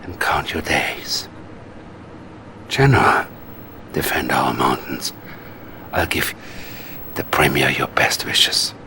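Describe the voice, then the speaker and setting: An actor playing a coldly calm, scheming Soviet psychic advisor in a video game cutscene, with a Russian accent and measured, condescending menace. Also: male voice, extremely low pitch, slight background noise, heavily acted. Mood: quietly menacing.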